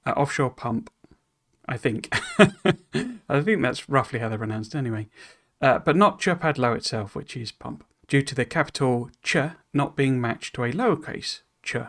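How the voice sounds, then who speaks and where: A man of middle pitch reads a developer blog aloud and breaks off into relaxed explanations. His talk is hesitant and rambling, with many "you know"s, restarts and apologetic stumbles over foreign words. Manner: explains in loose asides full of "you know". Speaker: streamer reading a game dev blog. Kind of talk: reading aloud then explaining casually.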